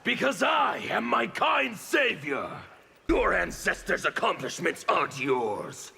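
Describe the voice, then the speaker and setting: Fierce raspy demon rebel, super gravelly, slow and forceful. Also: male voice, high voice, big pitch swings, soft background music, exaggerated voice. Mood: bitter defiance. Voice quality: harsh, gravelly rasp. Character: bitter, defiant male demon revolutionary. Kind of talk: video game villain dialogue.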